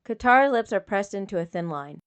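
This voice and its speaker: Woman narrating fiction at medium pitch. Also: lively intonation, fairly quick. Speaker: audiobook narrator.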